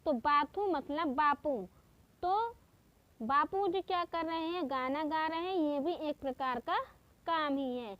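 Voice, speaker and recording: A woman teaching exam-prep memory tricks in a slow, patient way, repeating each step. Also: high-pitched, no echo, muffled recording. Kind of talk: exam-prep mnemonic lesson.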